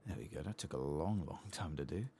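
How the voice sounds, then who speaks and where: A man quietly talking himself through a late-night game stream for ASMR listeners, hushed and casual, his low voice crackling with fry over faint background noise. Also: lively intonation, fairly quick. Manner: hushed, casual, thinking aloud. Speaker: gaming video host. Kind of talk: soft-spoken ASMR game commentary.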